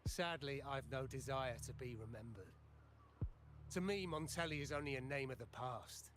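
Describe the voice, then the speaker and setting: An actor playing a world-weary, embittered old man in a video game, speaking in a deliberate, theatrical way. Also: mid-range voice, noisy background, natural voice. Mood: tired, sorrowful. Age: older. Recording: music behind the voice. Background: game music.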